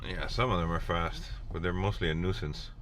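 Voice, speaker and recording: Livestream host, male, American, low register, casual chat from a moving car with clear vehicle noise. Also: steady tone, brisk. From Miami, USA. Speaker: livestream host driving to police scenes. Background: car noise, vehicle rumble.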